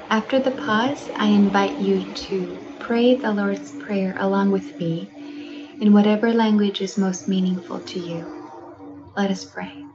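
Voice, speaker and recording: A woman with a Hungarian accent leading a prayer in a calm, measured, reverent way. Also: mid-range voice, highs cut off, background noise. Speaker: prayer leader at church.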